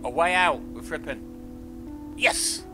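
A man who streams games, chatting casually and in an animated way to his viewers. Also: a little high, takes it slowly, music in the background. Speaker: game streamer. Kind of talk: casual livestream chat.

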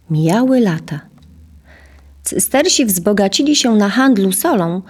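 A woman's voice: medium pitch, darkish tone. Woman narrating a historical tale. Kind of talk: scripted storytelling narration, audio drama.

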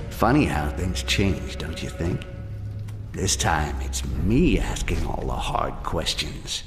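Video game goblin assassin: gravelly, sardonic and sly, slow menacing delivery that swings widely in pitch, music underneath. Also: male voice, sing-song, long reverb tail, heavily acted. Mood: quietly menacing. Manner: sardonic, muttering and snarling. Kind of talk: video game character acting.

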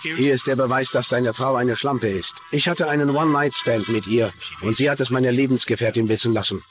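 Male actor as hateful stalker, documentary letter reading, clear music underneath. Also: mid-range voice, brisk, close to an everyday voice. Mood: vengeful. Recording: music behind the voice.

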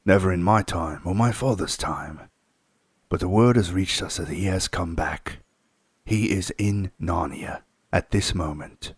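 A man doing a husky, grave old beaver. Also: low voice, unhurried, no echo, clean studio sound. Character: gruff, homely male beaver, grave and kindly.

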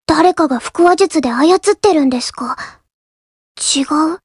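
A young coffee-house girl in an anime mobile game, with a high, small voice. She speaks softly and politely, reserved and a little shy but earnest. The sound is dry, with no room around it. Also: measured pace, no room sound. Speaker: game character voice actor. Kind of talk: scripted anime mobile game character lines.

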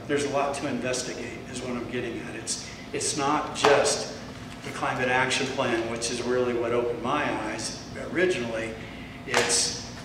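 An older man giving a presentation to a community meeting, reading excerpts from documents with halting restarts into a handheld mic. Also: medium pitch. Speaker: speaker. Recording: echoey hall, far mic, noise.

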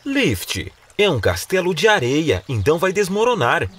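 A man's voice: a little high, huge pitch swings, moderate pace, a bit bright, a little hiss or hum. A man narrating a children's cartoon in an instructive, exclamatory manner.

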